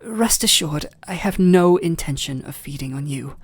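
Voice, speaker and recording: A man with a British accent playing a tender, conflicted vampire lord in love, in an intimate, breathy ASMR roleplay with formal, halting phrasing. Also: a little high, animated. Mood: apologetic, restrained. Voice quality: breathy, often near a whisper.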